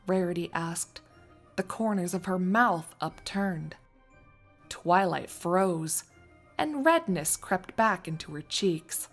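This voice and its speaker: A woman's voice: lowish voice, expressive, slow, soft background music. A woman narrating a scripted fan fiction story as a storyteller.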